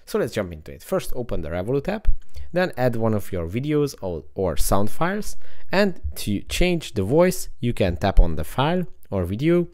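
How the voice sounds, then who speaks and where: A man's voice: mid-range voice, big pitch swings, moderate pace, a little mellow. A man hosting a screen-recorded app tutorial, explaining steps as a voice-over. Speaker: tutorial host.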